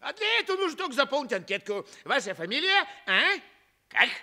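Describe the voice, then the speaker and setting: Scheming clown, high-pitched, very forceful tone, insistent, wheedling, theatrical radio comedy. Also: male voice, big pitch swings, fairly quick, heavily acted. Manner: insistent, repeats phrases. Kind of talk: theatrical comic radio play acting.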